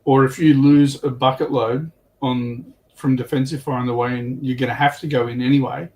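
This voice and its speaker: Mid-pitched man chatting as panelist. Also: fairly even intonation, a little fast, a little mellow. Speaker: panelist explaining game rules. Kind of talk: casual hobby panel chat.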